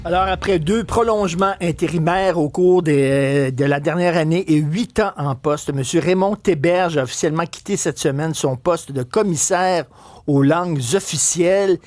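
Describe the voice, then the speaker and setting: A man with a Québécois accent hosting a talk radio interview. His voice sits a little high and sounds dry and close on a studio microphone. He questions in a probing, conversational way, often restarting his sentences. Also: normal speed, a little mellow, no room sound. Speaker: radio host.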